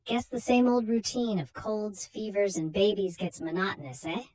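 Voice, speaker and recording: A woman's voice: mid-range voice, fairly even intonation, normal speed, a little mellow, close to an everyday voice, rough recording. A woman voicing a chatty, skeptical man with a folksy manner in a horror comic story. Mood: teasing. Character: chatty male friend, teasing and skeptical.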